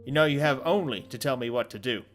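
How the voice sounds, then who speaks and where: An actor playing a brave, easygoing gentleman in an audio drama, breezy, plain-spoken and ready to help. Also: mid-range voice, quick pace, soft background music, close to an everyday voice. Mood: eager, steady.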